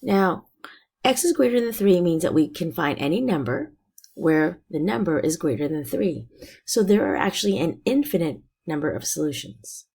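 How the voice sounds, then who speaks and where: Raspy-voiced woman teaching a math lesson. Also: lowish voice, very animated, moderate pace, darkish tone. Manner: patient, step-by-step explaining. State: hoarse from illness.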